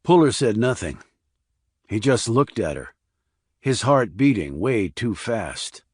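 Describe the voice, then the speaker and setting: A man's voice: fairly low pitch, big pitch swings, slow, husky, no room sound. Male audiobook narrator, dry close sound. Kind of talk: scripted fiction narration.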